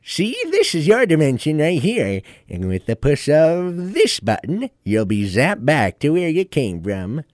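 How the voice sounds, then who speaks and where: A man's voice: fairly high pitch, huge pitch swings, unhurried, few pauses, a little mellow, no room sound. A man playing a kindly old gadget inventor in a comic audio drama, with a folksy, hesitant, chuckling old-timer delivery. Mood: helpful, proud. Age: older.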